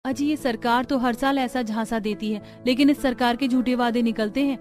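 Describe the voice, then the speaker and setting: A woman's voice: fairly high pitch, fairly even intonation, normal speed, darkish tone, soft background music, natural voice. A woman voicing practical, outspoken young village daughters-in-law in a cartoon family story. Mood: nagging, annoyed. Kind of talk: Hindi cartoon story dialogue.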